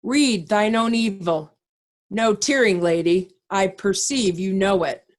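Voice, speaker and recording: A woman as a righteous, slow-spoken nobleman. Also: mid-range voice, natural voice. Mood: stern, outraged. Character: righteous, commanding nobleman confronting a wicked wife. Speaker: amateur actor in a reader's theatre. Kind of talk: Shakespearean stage reading.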